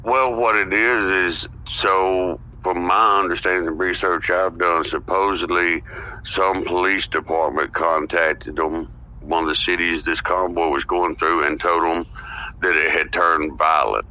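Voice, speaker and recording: A man with a very deep, forceful, tense voice chats as a podcast guest, agreeing readily and saying "you know" often. The audio is dull and lo-fi, with faint noise behind him. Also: fairly even intonation, unhurried. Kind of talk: casual political chat.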